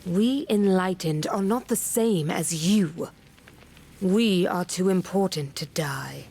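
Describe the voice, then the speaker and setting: A woman playing an imperious, condescending high priestess in a video game, pious and measured. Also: mellow, breathy edge, soft background music, heavily acted. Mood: haughty, irritated. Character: haughty, pious high priestess, disdainful of commoners.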